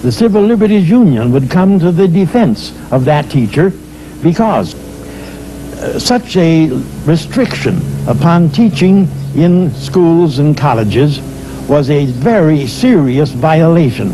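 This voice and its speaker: An older man recounting past events in a measured, hesitant way, with frequent pauses and uh's. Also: a little high, background noise. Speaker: storyteller recalling history. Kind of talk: spoken historical recollection.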